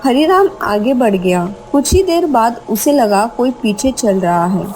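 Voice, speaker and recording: High-pitched woman narrating horror. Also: lively intonation, measured pace, darkish tone, slight background noise, poor audio. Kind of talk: scripted horror story narration.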